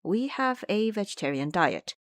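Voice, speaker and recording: Woman acting a gentle, pious monk master. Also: mid-range voice, big pitch swings, no echo, studio quality, close to an everyday voice. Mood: polite. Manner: courteous, measured.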